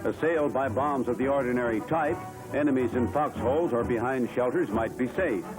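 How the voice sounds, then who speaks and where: A man's voice: medium pitch, a little fast, poor audio. A man narrating a 1940s newsreel in a formal, dramatic announcer's delivery. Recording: 1940s newsreel soundtrack with loud music behind.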